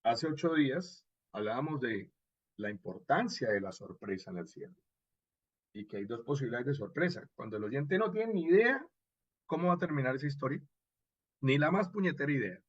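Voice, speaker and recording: Husky man teaching, dull audio. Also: medium pitch, moderate pace, no echo. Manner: didactic, expressive, punctuates points with short check questions. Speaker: radio drama instructor. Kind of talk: informal online lecture on scriptwriting.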